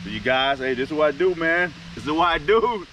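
A middle-aged man with a Southern US accent, talking casually and excitedly to his fishing vlog viewers, often repeating himself. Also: a little high, fast. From Arkansas and Louisiana, United States. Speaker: fishing channel host. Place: outdoors by a neighborhood pond. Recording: noisy outdoor recording.

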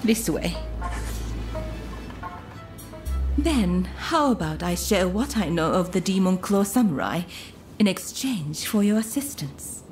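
A woman acting a poised, secretive young courtesan spy in a video game, speaking in a measured, formal, coy manner. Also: lowish voice, animated, a little mellow, soft background music. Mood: composed, commanding.

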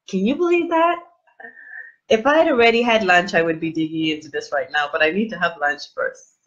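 A middle-aged woman chatting live to her viewers on a webcam, gushing and animated with lots of oohs and fillers. Her voice has a slightly husky edge, and the audio sounds dull and lo-fi, as from a desk camera in a small room. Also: lowish voice. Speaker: livestream host. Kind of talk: casual live unboxing chat. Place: small indoor room with plain wall. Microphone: webcam at desk distance.

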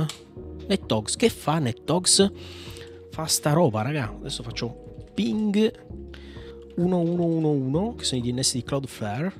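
A man, talking casually and playfully like a video host rambling through a tech tutorial, close to the microphone. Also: medium pitch. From Italy. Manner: informal, playful, slangy, rambling. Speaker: host of a Linux video channel. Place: small home room. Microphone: microphone on a boom arm, close.

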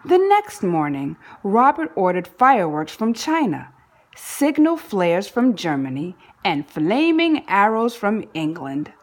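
Slow, animated woman reading a storybook. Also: mid-range voice. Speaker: storybook reader. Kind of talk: expressive children's read-aloud narration.

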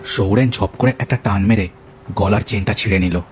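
A man's voice: lowish voice, moderate pace, a little hiss or hum. A man telling a cartoon ghost story as narrator, with dramatic scripted delivery.

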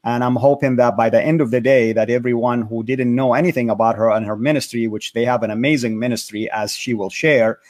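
A man's voice: medium pitch, fairly even intonation, a little fast, hardly any pauses, a little mellow. Polite man hosting an online interview. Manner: polite, encouraging, with frequent "uh" fillers. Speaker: interview host.

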